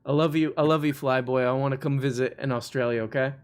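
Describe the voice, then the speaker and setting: A man's voice: mid-range voice, steady tone, fast talker, clean tone. A man hosting a live call-in stream, chatting casually with callers and joking with mock outrage. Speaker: live show host taking callers.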